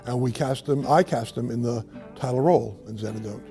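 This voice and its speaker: A man, a theater teacher and director, speaking in a measured, reflective way in an interview. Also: mid-range voice, vocal fry, mellow, faint music behind.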